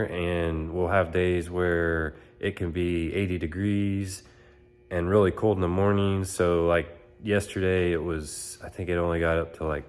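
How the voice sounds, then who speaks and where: A man's voice: sits low, clear, resonant tone. A young man with a stuffed-up head cold, rambling casually through a phone-filmed how-to vlog. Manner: relaxed rambling with fillers. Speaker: channel host. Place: pole barn, then outdoors on a lawn. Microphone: phone at arm's length, then phone camera behind it.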